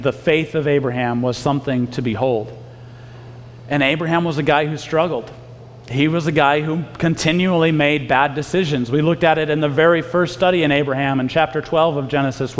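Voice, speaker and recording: Joking pastor in a reverberant hall. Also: male voice, a little high. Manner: joking, self-deprecating, frequent restarts. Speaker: pastor teaching a Bible study. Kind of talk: casual conversational sermon.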